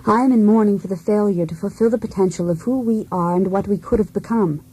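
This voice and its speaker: An actress playing a cold, disillusioned wife set on breaking free, in a deliberate, introspective radio drama monologue. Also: female voice, mid-range voice, warm tone, husky, close to an everyday voice, poor audio. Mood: mournful.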